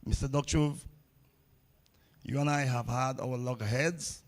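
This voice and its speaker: Gravelly-voiced man speaking bluntly. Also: medium pitch, fairly even intonation, unhurried. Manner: blunt, repeats phrases for emphasis. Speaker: table tennis coach giving a speech. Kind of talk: public ceremony speech.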